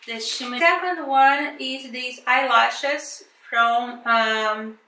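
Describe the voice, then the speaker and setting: A woman's voice: on the high side, slow, pushed and bright, reverberant, recorded from a distance. A woman from Brazil with a thick Brazilian Portuguese accent, chatting casually and hesitantly as she unboxes and reviews beauty products, with many fillers and restarts. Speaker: beauty vlogger.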